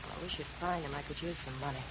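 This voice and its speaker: An American woman playing a brusque, deadpan, tough young wife in a 1950s radio detective drama. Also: low voice, fast talker. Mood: flat, offhand. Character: blunt, world-weary, slovenly young wife, tough dame. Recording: noisy, muffled old radio recording.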